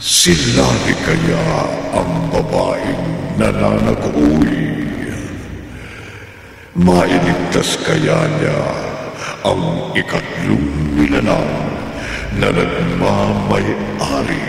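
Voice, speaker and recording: Male horror radio announcer, very gravelly, low, ominous, swooping delivery, long reverb, music behind. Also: huge pitch swings. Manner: ominous, drawn-out, theatrical. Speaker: announcer and narrator.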